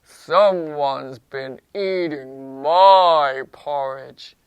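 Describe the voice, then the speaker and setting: A woman puts on a big papa bear for a children's story. The voice drops low and deep, booming out slowly. He sounds gruff and indignant. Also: no echo, exaggerated voice, low-quality recording. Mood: gruff indignation. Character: big slow gruff male Daddy Bear.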